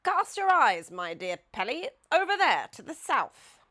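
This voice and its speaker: A woman acts out a grand, generous Duke from a children's tale. The voice is bright and swoops widely. He declaims pompously, sure that he knows everything. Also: high voice, very animated, unhurried, voice pushed up, heavily acted. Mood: proud, emphatic. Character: grand, emphatic, know-it-all, generous old-fashioned male Duke.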